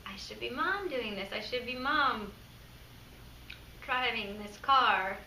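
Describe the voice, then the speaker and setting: Halting, obsessive jilted young woman acted by a woman. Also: on the high side, lively intonation, pitched below the performer's own voice, heavily acted. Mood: troubled, obsessive. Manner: halting, hushed confession. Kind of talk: theatrical audition monologue. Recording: roomy, distant mic, faint noise.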